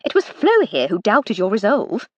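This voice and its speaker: A woman's voice: medium pitch, huge pitch swings, normal speed, a little mellow, no echo, dull audio. A woman voicing a posh, teasing upper-class English lady who drawls with playful mockery. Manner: arch, drawling and playfully mocking.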